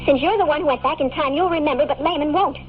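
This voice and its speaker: A woman acting an earnest, high-voiced radio-drama heroine. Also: fast talker, natural voice. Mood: pleading. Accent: American. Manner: intense, pleading. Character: earnest, urgent young woman, secret time traveler in love. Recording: tinny, hissy old radio broadcast recording.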